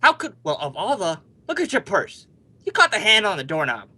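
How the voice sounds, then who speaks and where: A man's voice: high voice, huge pitch swings, fairly quick, pushed and bright, exaggerated voice. A male actor playing a brash, stubborn young husband in an old-time radio comedy, emphatic and blustering.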